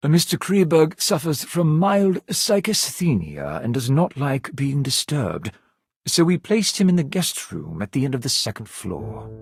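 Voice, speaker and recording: Formal manor servant, lively intonation. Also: male voice, medium pitch, vocal fry, slightly rough, no echo, heavily acted. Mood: formal, courteous. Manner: formal, courteous, measured diction. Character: polite, composed male servant of a grand manor. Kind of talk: scripted video game dialogue.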